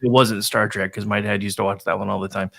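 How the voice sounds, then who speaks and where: A man's voice: flat delivery, fast, gravelly, fairly bright tone. A man co-hosting a radio talk show, chatting casually and joking, often acting out what others said in a mocking tone. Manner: jokey, acts out quotes in high mimicking voices. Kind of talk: casual chat. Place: radio studio.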